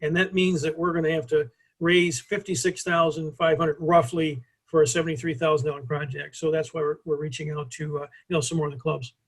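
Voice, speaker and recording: A man's voice: on the high side, level tone, a little fast. A man giving a hesitant, filler-heavy project presentation over a video call.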